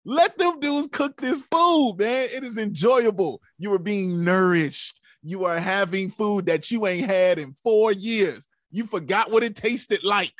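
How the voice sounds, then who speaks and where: A high-voiced man talks sports casually as a podcast co-host. He preaches his points with rhetorical questions and pet phrases, and the sound is close and dry. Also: measured pace, hardly any pauses, a bit bright, dry recording. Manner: emphatic, preachy, rhetorical questions and repeated catchphrases. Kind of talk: casual sports fan commentary.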